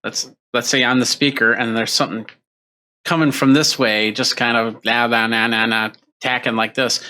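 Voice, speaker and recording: A man's voice: mid-range voice, steady tone, normal speed, bright, forceful tone. A man hosting an interview, asking casual, curious questions while searching for words. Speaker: host.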